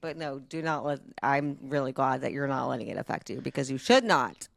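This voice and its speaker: A woman's voice: very deep voice, lively intonation, brisk, creaky, dry recording. A woman co-hosting a podcast, talking casually and informally with friends. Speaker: podcast co-host. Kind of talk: casual chat.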